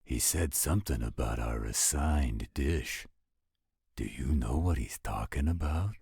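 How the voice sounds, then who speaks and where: A man's voice: rumbling low, unhurried, gravelly, darkish tone, slightly rough, no echo, studio quality, heavily acted. A man playing an easygoing, coaxing partner in a scripted roleplay audio drama, with a patient drawl. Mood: concerned. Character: easygoing male partner soothing his mate, potato-loving.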